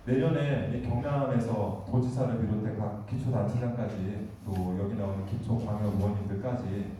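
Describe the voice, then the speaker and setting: A man's voice: fairly low pitch, steady tone, moderate pace, warm tone, recorded from a distance, a little hiss or hum. Man lecturing in an echoey hall. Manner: conversational, asks the audience questions. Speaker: guest lecturer. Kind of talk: lecture to an audience. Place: meeting hall.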